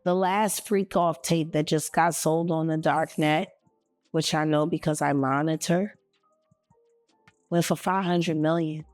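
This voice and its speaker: A woman's voice: sits low, fairly even intonation, unhurried, darkish tone, soft background music. A woman talking casually in a plain, conversational way.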